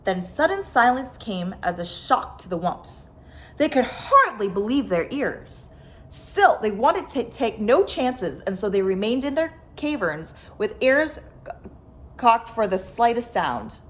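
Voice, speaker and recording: Animated woman reading a picture book. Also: a little high, a little slow. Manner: dramatic, stressed sound words and phrases. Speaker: storyteller, host of a story time. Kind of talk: expressive children's picture book read-aloud.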